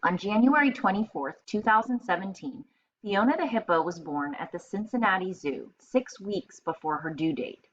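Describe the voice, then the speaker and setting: A woman reading aloud to children as a teacher, expressive and explanatory, in a slightly muffled recording with faint noise. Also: medium pitch, moderate pace.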